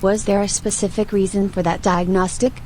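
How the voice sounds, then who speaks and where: A woman voicing a polite, watchful archive AI in an audio roleplay, speaking in a formal, measured, robotic manner. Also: medium pitch, level tone, a little mellow, slight background noise, lightly acted. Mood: composed, probing. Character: female-voiced archive AI, polite, composed, coolly watchful.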